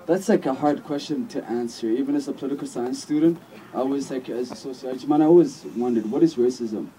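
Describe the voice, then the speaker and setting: Young man, mellow voice, from a distance. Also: medium pitch, quick pace. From United States. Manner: personal, questioning, casual "like" fillers. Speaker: student speaking at a rally. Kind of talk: personal rally speech. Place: outdoor rally in a park.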